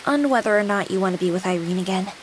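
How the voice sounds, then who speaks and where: A woman's voice: medium pitch, quick pace, a little hiss or hum, close to an everyday voice. A woman playing a young female AI companion with an anime-girl persona in a visual novel game, devoted and formal but playful. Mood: calm. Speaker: game character.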